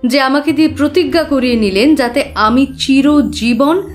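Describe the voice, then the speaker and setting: High-voiced, earnest young woman played by an actress. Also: normal speed, faint music behind, natural voice. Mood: earnest, troubled. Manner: earnest, careful storytelling. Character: earnest, trusting, troubled young woman client. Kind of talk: Bengali audio drama, recounting events.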